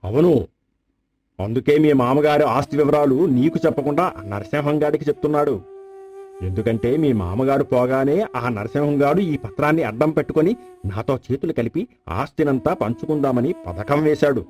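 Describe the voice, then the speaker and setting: An actor playing a scheming rival landlord, the villain of a folk tale, insinuating and persuasive. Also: male voice, mid-range voice, normal speed, a little mellow, soft background music, lightly acted. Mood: sly, persuasive. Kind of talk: dramatized folk tale acting.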